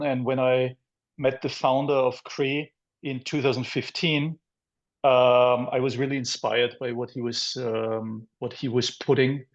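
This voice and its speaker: A middle-aged man from Austria, a guest in a business interview, answering in a measured way with many pauses. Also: medium pitch, dull audio. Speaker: guest, business development director.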